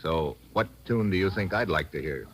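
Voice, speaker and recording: An actor playing a tough, sardonic American agent, narrating and talking in hard-boiled old-time radio drama style. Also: male voice, deep voice, animated, normal speed, a bit bright, slight background noise. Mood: impatient. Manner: sardonic, slangy.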